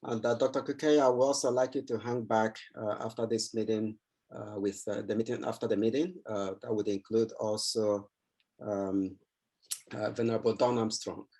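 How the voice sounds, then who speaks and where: A man hosting an online church meeting who introduces the speaker in a halting way, with constant "uh" fillers and repeated words. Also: fairly low pitch, level tone, warm tone. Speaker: meeting host.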